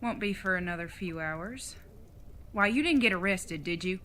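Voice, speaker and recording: A woman's voice: fairly low pitch, expressive, normal speed, fairly bright tone, a little hiss or hum. A woman acting in an audio drama as a retired outlaw mother, older, fond and teasing, with a folksy Old West way of talking. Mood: teasing, curious.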